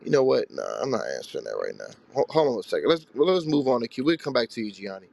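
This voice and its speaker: Gravelly, blunt man teacher. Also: mid-range voice, very animated, fast talker, a little mellow. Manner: blunt, rhetorical, streetwise banter. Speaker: religious teacher answering callers. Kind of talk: live call-in Q&A.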